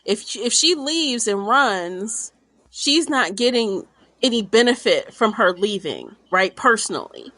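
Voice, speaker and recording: A woman talking casually as a panelist in a podcast book discussion, with an animated, exclamatory and slangy way of speaking. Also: a little high, unhurried, dry recording.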